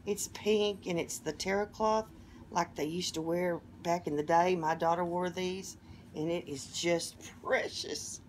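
A middle-aged woman with a hoarse voice, chatting casually as she opens gifts at home and gushes over each one. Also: on the low side, fairly even intonation, a little slow, slight background noise. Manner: gushing, softly delighted exclamations. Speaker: vlogger opening gifts. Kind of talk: casual unboxing chat. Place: living room at home.

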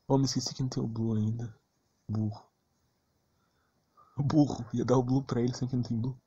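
A man's voice: mid-range voice, steady tone, a little fast, warm tone, breathy edge, muffled recording. A man with a Brazilian accent giving casual, slangy, blunt gaming commentary with mild cursing. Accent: Brazilian Portuguese. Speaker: gameplay streamer.

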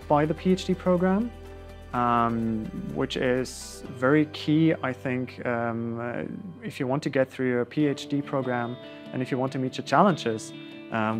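A man in his thirties, a doctoral student, speaking thoughtfully with some hesitation in an office. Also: medium pitch. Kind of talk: promotional interview. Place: office with computer.

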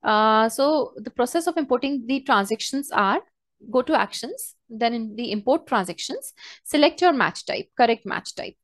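A woman walking through a software tutorial step by step as a trainer, in a hesitant, halting way. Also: a little high, lively intonation, no room sound, clean studio sound. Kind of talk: screen-share software tutorial, unscripted walkthrough.

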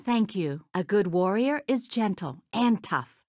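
A young woman voicing a brave, earnest, good-hearted young woman warrior for a video game, in expressive short lines. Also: medium pitch, a little slow, no echo, clean studio sound. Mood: grateful, proud. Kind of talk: video game character voice clips.

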